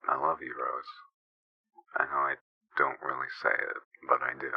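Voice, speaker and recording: A man playing a gruff but caring father with a deep voice and a curt manner, in amateur audio fiction. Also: flat delivery, normal speed, dry recording, muffled recording, heavily acted. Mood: tender. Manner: curt, straight to the point. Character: gruff, terse, caring father of a grown daughter.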